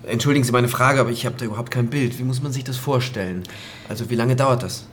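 An actor playing a thoughtful, conscientious male prosecutor in a radio drama, with a measured, introspective manner. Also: mid-range voice, a little hiss or hum, close to an everyday voice. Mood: probing, troubled. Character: pensive, conscientious male public prosecutor narrating his investigation. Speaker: lead actor and first-person narrator. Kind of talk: radio drama narration and dialogue.

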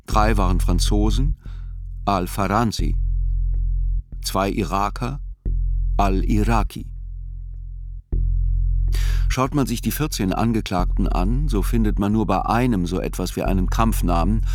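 An actor playing a writer who narrates a trial in a radio play, in a measured, sober, observant way. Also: male voice, lowish voice, soft background music.

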